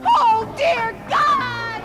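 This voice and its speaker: A woman's voice: extremely high pitch, expressive, extremely slow, over music, exaggerated voice. A woman playing a horrified on-the-scene television reporter in a radio comedy. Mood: alarmed. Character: horrified female locker-room TV reporter.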